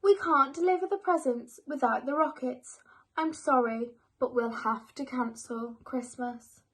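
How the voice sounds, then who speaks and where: A woman's voice: high voice, measured pace. A woman voicing an anxious, dramatic Father Christmas in a children's storybook, emphatic and theatrical. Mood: sorry. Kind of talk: children's storybook character reading.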